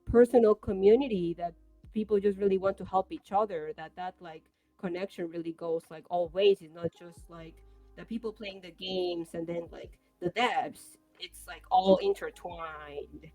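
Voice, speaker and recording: A woman's voice: fairly low pitch, normal speed, dark tone, faint music behind, rough recording. A young adult woman streamer talking in a casual livestream chat, enthusiastic and rambling with many fillers. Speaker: streamer guest.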